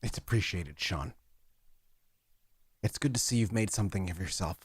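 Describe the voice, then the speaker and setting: A man's voice: low voice, moderate pace, slightly rough, no echo. A man voicing a weary, grateful client in a tabletop game, subdued and clipped. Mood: grave, subdued. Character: weary, hurried, grateful male client, Mister Larson. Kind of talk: tabletop roleplay in character.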